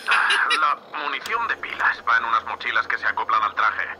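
A man playing a gruff, seasoned spaceship captain in an audio drama, curt, practical and dryly sardonic. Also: mid-range voice, sing-song, brisk, lightly acted. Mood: brisk, matter-of-fact. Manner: curt, commanding, dry. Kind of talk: audio fiction acting. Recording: dull, muffled band, light noise.

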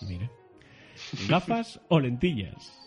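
A man's voice: mid-range voice, huge pitch swings, takes it slowly, soft background music. A man hosting an interview with casual questions and chat. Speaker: interview host.

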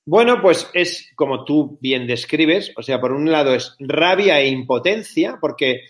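A man with a Barcelona Spanish accent and a slightly high-pitched voice gives his opinions in an interview. He speaks casually and bluntly, in colloquial language that slips into swearing. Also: animated, a little slow. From Barcelona, Spain. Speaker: interview guest.

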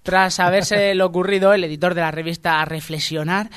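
A man co-hosts a radio comedy. His fairly high voice carries spoof news reports and offhand banter. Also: flat delivery, fairly quick, a bit bright, clear, resonant tone. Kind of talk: mock news reading with casual banter.

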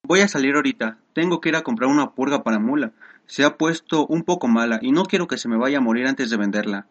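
A woman's voice: a bass voice, animated, quick pace, highs cut off. A woman playing a practical, bossy father in a radio soap, giving instructions matter-of-factly. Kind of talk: Spanish-language radio soap acting.

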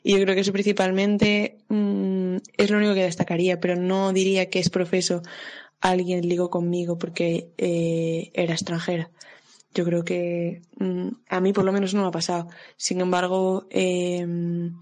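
Female guest, rather low and flat, casual hesitant storytelling. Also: normal speed. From Spain. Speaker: guest giving a recorded testimony. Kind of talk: casual personal anecdote.

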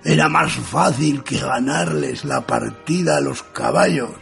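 An actor playing a jaded, sardonic writer in a radio play, narrating deadpan in a husky, gravelly voice. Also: male voice, mid-range voice, measured pace, faint music behind. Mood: deadpan, weary. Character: jaded, sardonic male writer narrating his idle days. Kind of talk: first-person radio theatre narration.

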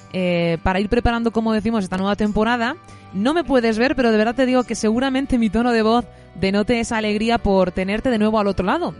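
A woman presenting a literary podcast in an expressive, effusive, semi-scripted way. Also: medium pitch.

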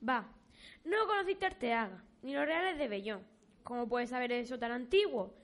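A woman's voice: fairly high pitch, expressive, normal speed, a bit bright. A female performer playing a curious, sceptical young grandchild in a radio play, with an inquisitive, cheeky manner. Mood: teasing doubt.

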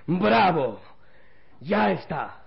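An actor playing a cold, scheming villain in an old radio serial, theatrical and menacing. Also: male voice, fairly high pitch, vocal fry, muffled recording, full character voice. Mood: triumphant. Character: gloating, scheming male villain, cold and ruthless.

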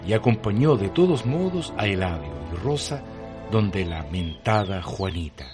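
Deep Chilean male storyteller. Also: very animated, a little slow. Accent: Chilean Spanish. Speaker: narrator. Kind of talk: scripted radio drama narration. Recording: music bed behind the voice.

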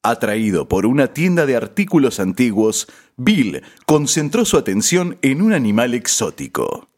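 A man's voice: mid-range voice, very animated, moderate pace, darkish tone. A man playing a grandiose, mock-solemn narrator of a parody radio drama.

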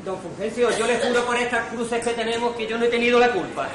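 A man's voice: very high voice, fast, recorded from a distance. A man in an amateur stage farce playing a flustered, exasperated servant who protests in colloquial speech, in an echoey noisy hall.

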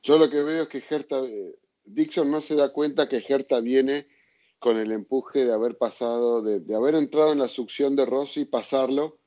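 A man's voice: medium pitch, moderate pace, dark tone. A man breaking down a racing maneuver in a casual chat, explaining in a methodical, technical way. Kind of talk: casual technical race analysis. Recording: dull lo-fi sound.

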